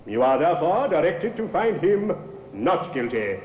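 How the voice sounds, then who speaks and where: An actor playing a stern, formal judge with a weighty, caustic manner in an old-time radio drama. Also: male voice, a little high, big pitch swings, normal speed, faint music behind. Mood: formal, authoritative. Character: stern, caustic male judge addressing a jury. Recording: echoey, dull, faintly noisy old radio recording.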